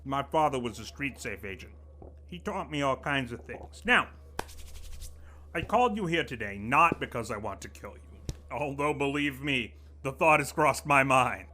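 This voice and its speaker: An actor playing a smug, scheming middle-aged city official who talks in glib, wheedling turns. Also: male voice, mid-range voice, lively intonation, moderate pace, pushed and bright, slight background noise. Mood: needling. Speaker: acting director of utilities. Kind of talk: audio drama acting.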